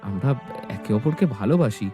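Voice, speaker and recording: A man's voice: mid-range voice, expressive, moderate pace, dark tone, soft background music, close to an everyday voice. A man playing an earnest, proud, poor young woodcutter in love in a cartoon, often pleading.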